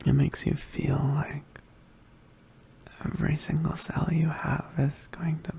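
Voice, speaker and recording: A man playing a badly injured, weary young male witch in an ASMR roleplay, murmuring haltingly in a weak, pained voice. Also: fairly high pitch, flat delivery, vocal fry, dark tone. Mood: weary, explaining. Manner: halting, hesitant, murmured, understated. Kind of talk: ASMR roleplay, soft intimate whispering.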